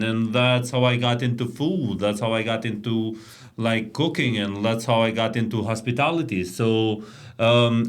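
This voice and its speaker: Enthusiastic man. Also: medium pitch. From Kosovo. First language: Albanian. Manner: enthusiastic, repeats words, non-native phrasing. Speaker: restaurant owner, guest. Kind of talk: casual interview chat.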